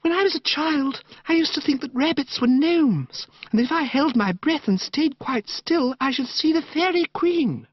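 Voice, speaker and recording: A man's voice: extremely high pitch, expressive, lo-fi sound, voice pushed up. A man doing a gushing, dreamy young woman. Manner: breathy, sighing, drawn-out gushing. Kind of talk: audiobook character voice.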